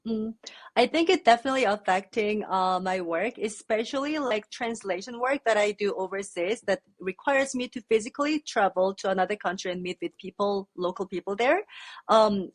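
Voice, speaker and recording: A young Korean woman, a native Korean speaker, answering interview questions in a relaxed, thoughtful way with many fillers. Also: medium pitch, normal speed. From South Korea. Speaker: podcast guest. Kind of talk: casual interview chat.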